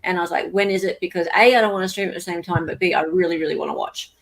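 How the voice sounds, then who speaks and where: A woman's voice: on the low side, fairly even intonation, rapid-fire. A woman hosting a casual livestream chat, talking in an informal, emphatic way. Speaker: livestream host.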